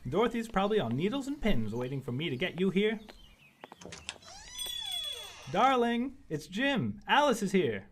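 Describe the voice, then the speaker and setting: An actor playing an earnest, anxious young husband in a stagey radio drama. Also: male voice, high-pitched, very animated, brisk, a bit bright, slight background noise. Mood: cheerful.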